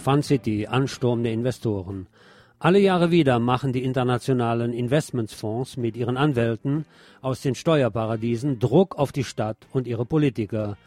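A man's voice: mid-range voice, normal speed, darkish tone. A man presenting scripted radio news as a narrator, reading reports in an orderly way. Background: music under the voice.

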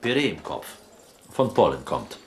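An actor playing an eccentric, bookish Greek prisoner in a radio play, with a Greek accent, broken grammar and quaintly formal recitation. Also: male voice, low voice, big pitch swings, moderate pace. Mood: earnest, proud. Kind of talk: German radio play acting.

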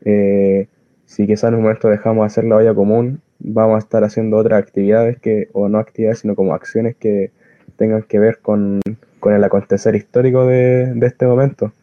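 Low, monotone Chilean man. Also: no echo. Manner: halting, searching for words, earnest. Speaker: community soup kitchen volunteer. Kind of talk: informal spoken explanation of activism. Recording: dull lo-fi close recording.

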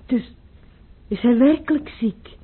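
An actress playing a proud, guarded baroness at court in a radio play, formal and intense, with a breathy edge. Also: female voice, fairly high pitch, slow. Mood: urgent. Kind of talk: theatrical radio play acting. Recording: muffled, narrowband old radio play recording.

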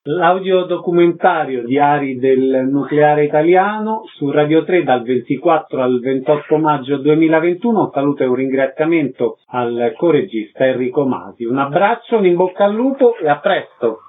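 A man's voice: fairly high pitch, expressive, normal speed, few pauses. A man working as a radio interviewer, putting short questions to a guest and presenting the programme. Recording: thin narrow-band phone-like sound.

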